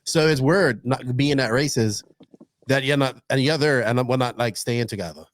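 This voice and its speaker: Animated teasing podcast host. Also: male voice, mid-range voice, moderate pace. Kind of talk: casual chat.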